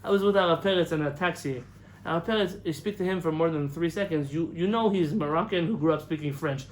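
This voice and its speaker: A young man from the United States giving a lively lecture as a rabbi, telling stories, acting out quotes and laughing at his own jokes. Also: fairly high pitch, fast, slight background noise, rough recording. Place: lecture hall in front of an audience. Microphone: camera recording of a live talk.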